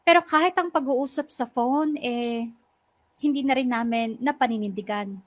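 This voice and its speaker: A woman playing a heartbroken young woman, narrating her lost love in measured, sentimental radio drama style. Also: on the high side, fairly bright tone. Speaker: narrator telling her own love story.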